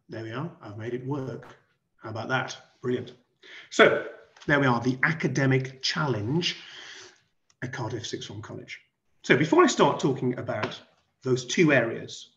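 A middle-aged man from West Wales presents as the head of a college. He explains point by point, setting up rhetorical questions and stressing key phrases by repeating them. His voice sits at an ordinary male pitch, heard through slightly dull, lo-fi video call audio. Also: fairly bright tone. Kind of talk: online presentation to prospective families.

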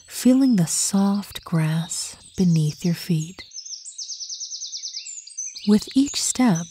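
Soft breathy woman doing guided meditation, really slow and calm, birds chirping in the background. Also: on the low side, slight background noise. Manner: calm, soothing, unhurried with long pauses. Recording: birdsong and nature ambience behind. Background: birdsong, outdoor nature sounds.

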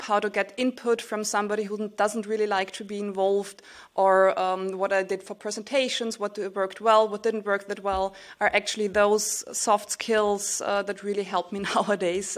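A woman in her thirties answers as a panelist at a conference, speaking into a desk microphone. She is reflective and pauses on "um"s. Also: mid-range voice. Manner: reflective, with fillers. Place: large conference hall.

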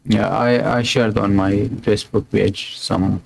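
A man from Iraq chatting casually with a stranger, relaxed with short replies. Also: lowish voice, unhurried, vocal fry, a little hiss or hum. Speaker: stranger on video chat. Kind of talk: casual chat.

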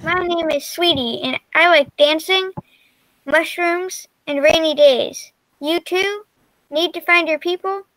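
Very high, dry-sounding teenage girl. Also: slow pace, fairly bright tone, dry recording, poor audio. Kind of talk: reading a picture book aloud. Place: indoors on a video call.

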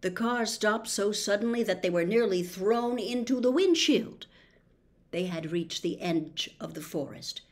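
An older woman narrating a story expressively, in a dramatic, deliberate manner. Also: mid-range voice, animated. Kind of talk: expressive audiobook-style narration. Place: small bedroom, indoors.

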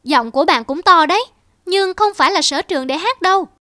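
A woman's voice: very high voice, animated, brisk, sharp tone, no room sound, studio quality, heavily acted. A woman voicing a small, self-assured singing cicada in a cartoon fable, breezy and frank. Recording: music under the voice.

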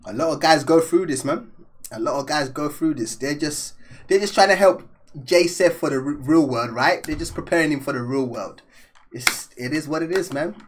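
A man's voice: on the high side, normal speed, background noise. A young man, Danish by first language, giving casual, joking, slangy reaction commentary indoors on a desk mic. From Denmark. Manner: laid-back, joking, slangy. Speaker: reaction video host. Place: small room indoors.

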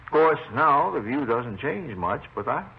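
An actor playing a garrulous, folksy, meticulous retired railroad engineer who carves wood, in a 1950s radio drama. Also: male voice, medium pitch, sing-song, a little slow, gravelly. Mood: chatty, genial. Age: older. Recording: noisy old radio recording, narrow phone-like band.

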